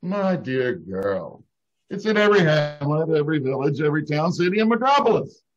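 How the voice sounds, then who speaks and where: A man's voice: fairly high pitch, very animated, normal speed. A man playing a pompous, hammy announcer who declaims grandly like a Shakespearean actor in old-time radio comedy. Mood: brisk, grand. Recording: dull online call recording.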